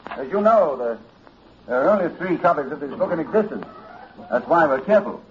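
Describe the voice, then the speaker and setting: An actor as a dramatic, shaken scholar on old radio. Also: male voice, fairly high pitch, lively intonation, a little fast, slight background noise, natural voice. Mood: guarded, uneasy. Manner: hesitant, dramatic, cultured. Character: learned, shaken university librarian doctor recounting horror. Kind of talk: 1940s radio acting, suspense narration. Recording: dull old radio recording with music.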